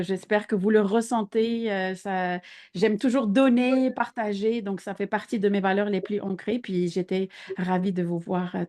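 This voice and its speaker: A woman presenter thanks her host and audience at a mid pitch, stumbling slightly here and there. She speaks over a video call. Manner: warm, grateful, with small hesitations. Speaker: workshop presenter. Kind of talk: closing thanks.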